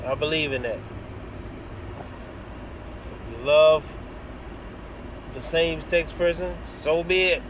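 A man's voice: fairly high pitch, slow, long pauses. A middle-aged American man giving a blunt, casual, sweary opinion monologue into his phone inside a vehicle. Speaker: vlogger. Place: inside a parked or moving vehicle cab. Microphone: phone at arm's length. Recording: phone recording with clear vehicle noise. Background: car and air conditioning hum.

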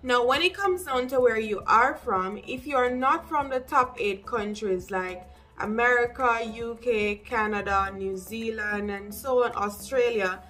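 Young Jamaican woman, chatty and direct, raised pitch, soft music behind, small indoor room. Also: unhurried. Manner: chatty and direct, with lively asides. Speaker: English teacher and vlogger. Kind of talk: casual advice vlog to camera.

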